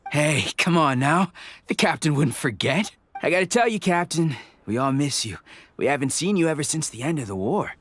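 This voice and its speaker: An actor voicing a good-natured, teasing war veteran in a video game. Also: male voice, fairly high pitch, animated, moderate pace, fairly bright tone. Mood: warm, reassuring.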